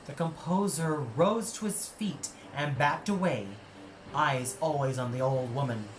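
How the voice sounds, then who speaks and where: A man's voice: a little high, measured pace. A man narrating an audio play as a dramatic, scripted storyteller. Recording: echoey, with noise behind. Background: ambient sound effects.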